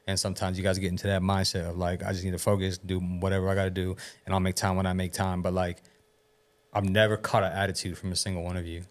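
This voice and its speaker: A man's voice: deep voice, completely flat delivery, fast talker. A man hosting a podcast in casual chat, talking loosely, swearing and laughing often. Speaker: podcast host.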